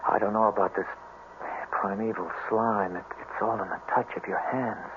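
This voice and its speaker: An actor playing a tormented, scarred young man in an old-time radio drama, intense, tender and halting in delivery. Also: on the low side, steady tone, natural voice. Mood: tender, subdued. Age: young adult. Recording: muffled, noisy old radio recording.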